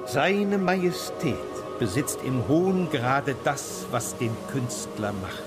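Slow-speaking imperial travel companion. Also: male voice, medium pitch, very animated, music in the background. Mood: admiring, solemn. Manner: deliberate, storytelling. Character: admiring male court companion recounting a sea journey. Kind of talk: radio drama memoir reading.

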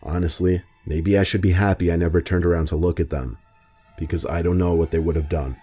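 A man with a deep, dark voice tells scary road stories in a steady, even manner. He reads them as scripted narration, and soft music plays quietly underneath. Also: brisk, slightly rough. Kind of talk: scripted horror story narration.

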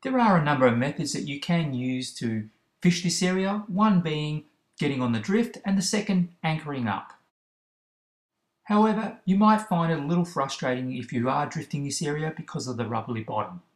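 Aussie guy explaining fishing spots, steady how-to voice, echoey room sound. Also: on the high side, moderate pace. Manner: steady, instructive delivery. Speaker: fishing channel host. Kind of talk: instructional voice-over about kayak fishing spots. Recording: roomy, echoey recording.

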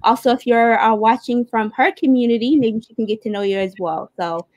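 A woman's voice: a little high, steady tone, a little fast, darkish tone, no room sound, muffled recording. A woman casually co-hosting a livestream over a video call. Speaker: livestream co-host. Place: indoor room at home, on video call. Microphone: video call device.

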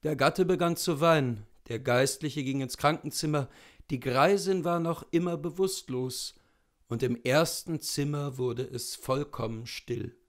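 A man's voice: on the high side, measured pace. A man in his thirties reading a literary story aloud as a scripted narration. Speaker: literary reader. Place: small indoor room with bookshelves.

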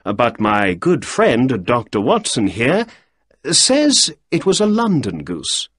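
A man's voice: medium pitch, wildly swooping pitch, slow, fairly bright tone, husky, dry recording, clean studio sound, full character voice. A man voicing a keen, polite male detective who asks probing questions.

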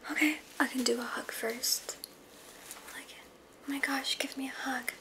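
A woman's voice: sits high, steady tone, measured pace. A young adult woman, an ASMR creator, mostly whispering in an informal, candid way close to the mic. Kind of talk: ASMR roleplay bloopers, whispered and soft-spoken. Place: small decorated bedroom studio indoors.

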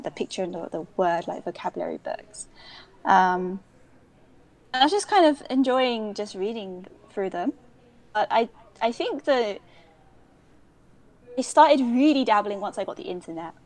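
A young woman with a London accent talks casually as a podcast guest. Her voice sits at a middle pitch, and her telling wanders with ums and quick restarts. She is heard through a remote call mic. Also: expressive, a little mellow. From England. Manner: chatty, frequent ums and self-corrections. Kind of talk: casual conversational storytelling.

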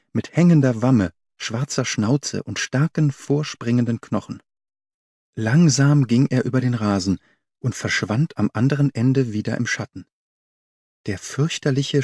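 A man reading the part of an observant young governess who recounts a mystery, in measured, precise audiobook narration. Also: mid-range voice, darkish tone, slightly rough, dry recording, clean studio sound. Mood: fearful awe. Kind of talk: first-person audiobook narration.

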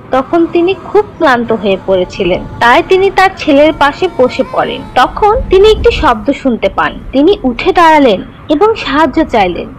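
High-pitched, expressive woman storyteller. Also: a little hiss or hum, poor audio. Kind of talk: scripted narration of children's religious cartoon stories.

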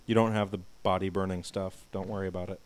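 A man's voice: sits low, little pitch movement, fast. A young man co-hosting a game playthrough, reading a diary entry aloud with mock drama and joking with his partner. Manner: laughs loudly, reads the diary with mock drama. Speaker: let's play co-host.

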